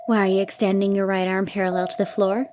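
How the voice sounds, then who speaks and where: A woman's voice: medium pitch, flat delivery, fast, noisy background, close to an everyday voice. A woman playing a chirpy, polite young boy from Earth in an audio play. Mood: polite, curious.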